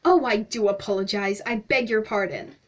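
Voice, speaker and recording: A woman's voice: mid-range voice, moderate pace, lightly acted. A woman putting on a pompous gushing old explorer. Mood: fawning. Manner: gushing, flowery and babbling. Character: pompous chatty older male explorer, smallish.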